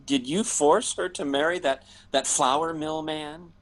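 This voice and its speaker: A man acting in a 1940s-style radio drama as a courteous, bewildered uncle back from travels, polite and halting. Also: on the high side, noisy background, lightly acted. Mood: bewildered, dismayed.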